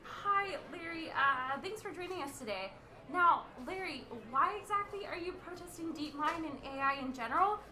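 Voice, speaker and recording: A woman's voice: very high-pitched, expressive, normal speed, a crowd in the background. A woman playing a scatterbrained young radio reporter in an amateur radio play, rambling and stammering as she goes. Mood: eager, flustered. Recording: roomy, echoing sound.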